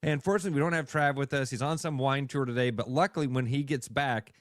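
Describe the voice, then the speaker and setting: A man's voice: mid-range voice, steady tone, fast talker, dry recording. A man co-hosting a radio show, joking and telling stories in a studio. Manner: joking, storytelling. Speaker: radio co-host. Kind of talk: casual chat.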